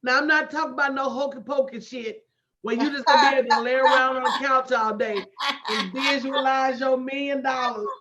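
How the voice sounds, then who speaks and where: High-voiced woman, emphatic motivational coach. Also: steady tone, fairly quick, a bit bright. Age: late 40s. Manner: emphatic, preacher-like repetition with direct address and folksy slang. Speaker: motivational business coach hosting a livestream. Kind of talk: motivational coaching talk to a live online audience. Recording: dull lo-fi livestream audio.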